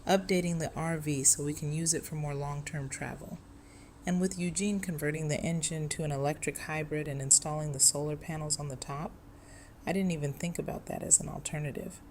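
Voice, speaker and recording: A woman playing a supportive, level-headed friend in an audio drama, with a measured conversational delivery. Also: low voice, fairly even intonation, darkish tone, a little hiss or hum, lightly acted. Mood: approving.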